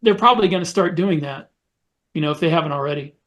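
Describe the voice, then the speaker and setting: High-pitched man talking on a podcast. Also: fast, a bit bright. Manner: explanatory, storytelling. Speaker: podcast co-host. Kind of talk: casual panel discussion.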